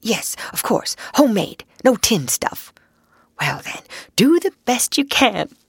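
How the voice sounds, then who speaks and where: A woman voicing a male water rat from a storybook, hearty and bustling, with a brisk, commanding and approving manner. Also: a little high, very animated, vocal fry, slightly rough, dry recording, pitched above the performer's own voice, heavily acted. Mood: muttered, insistent.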